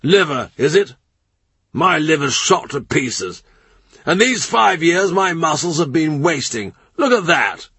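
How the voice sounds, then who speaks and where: A man voicing an ailing duke who complains loudly and pities himself, in a storybook reading. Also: on the high side, very animated, a little slow, breathy edge, no echo, pitched above the performer's own voice, full character voice. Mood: complaining. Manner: complaining, raised voice. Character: grumbling ailing male duke, loud and self-pitying.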